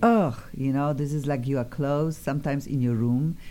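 A woman's voice: extremely low pitch, steady tone, normal speed, warm tone. A woman hosting a studio talk show, chatty and effusive, often restarting her sentences. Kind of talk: lively studio chat.

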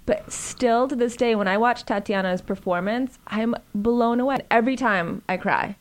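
A woman from upstate New York, talking in a gushing, animated way as a guest in a casual interview. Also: a little high, moderate pace, slight background noise. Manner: gushing, animated, with many fillers.

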